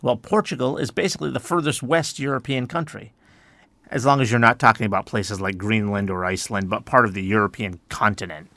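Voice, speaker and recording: A man from the United States hosting an educational podcast, explaining things in a relaxed way with many "I mean"s and restarts. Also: fairly low pitch, brisk, vocal fry, dry recording. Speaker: podcast host.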